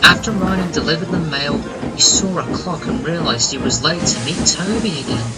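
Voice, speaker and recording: Higher-voiced male storyteller over loud music. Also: moderate pace, low-quality recording. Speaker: narrator. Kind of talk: scripted children's story narration. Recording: loud music under the narration.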